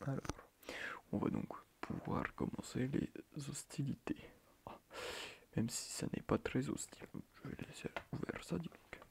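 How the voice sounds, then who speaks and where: A man playing a soft-spoken, quirky, mock-strict schoolteacher in an ASMR roleplay, hushed and breathy, muttering hesitantly and repeating himself. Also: medium pitch, normal speed, close to an everyday voice. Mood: calm, helpful. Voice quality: hushed, half-whispered, breathy. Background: computer keyboard typing.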